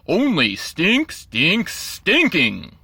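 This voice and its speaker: A man's voice: high-pitched, wildly swooping pitch, extremely slow, gravelly, sharp tone, slightly rough, no echo. A man narrating a rhyming children's storybook in a theatrical, playful way.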